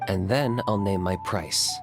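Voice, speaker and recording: A guarded, coolly businesslike young man from a video game. His voice is low and laconic, delivered deadpan over clearly audible music. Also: measured pace. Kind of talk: video game character acting.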